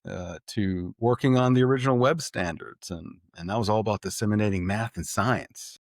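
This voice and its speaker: A middle-aged man from Puerto Rico, a tech executive guest telling his life story in an animated way, laughing often and repeating words as he starts sentences. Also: fairly low pitch, moderate pace, no room sound, clean studio sound. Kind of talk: conversational storytelling.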